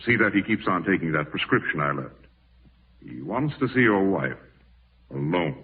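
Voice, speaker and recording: An actor playing a blunt, unflappable country doctor with a matter-of-fact manner, in 1940s radio acting style. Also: male voice, low voice, sing-song, normal speed, gravelly, slightly rough, exaggerated voice. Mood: curt, dry. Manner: matter-of-fact, dry, brusque. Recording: narrow-band vintage radio broadcast.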